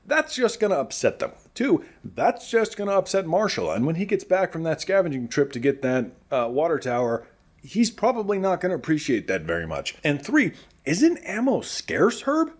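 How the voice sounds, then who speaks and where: A man playing an affable, earnest radio show host in a post-apocalyptic comedy drama, chatty, rambling and gently teasing. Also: a little high, huge pitch swings, moderate pace.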